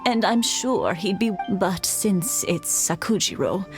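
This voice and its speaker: Slow, wistful elderly wife. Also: female voice, mid-range voice, expressive, over music. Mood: regretful. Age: older. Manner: unhurried, wistful phrasing. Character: kindly elderly wife, wistful, fondly teasing. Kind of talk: video game voice acting.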